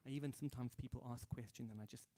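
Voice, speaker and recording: A man's voice: mid-range voice, fairly even intonation, racing, noisy background. A man hosting a live guitar stream from home, chatting loosely with viewers, thanking them and reading their comments aloud with lots of fillers and restarts. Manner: hesitant and rambling, many fillers and restarts. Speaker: livestream host and guitarist.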